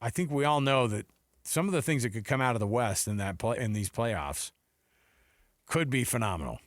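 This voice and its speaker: A man co-hosting a sports radio show with teasing, excitable, conversational banter. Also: mid-range voice, normal speed, no room sound, clean studio sound.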